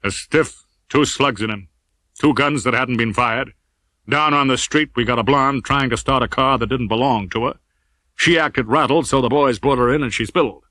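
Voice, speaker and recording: A man's voice: mid-range voice, moderate pace, bright, forceful tone, dry recording, close to an everyday voice, poor audio. A man voicing a cold, scornful police captain with a curt, deadly polite manner in an audiobook.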